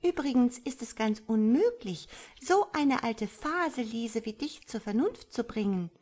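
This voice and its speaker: Woman as a high, cheeky young tadpole girl. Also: expressive, a little slow, darkish tone. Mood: flippant. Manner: pert, flippant, dismissive. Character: cheeky young female tadpole, smug, carefree and modern.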